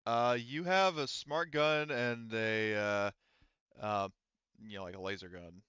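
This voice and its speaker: Male game master, casual tabletop banter, forceful bright tone on a slightly lo-fi recording. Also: lowish voice, slow pace, smooth, no echo.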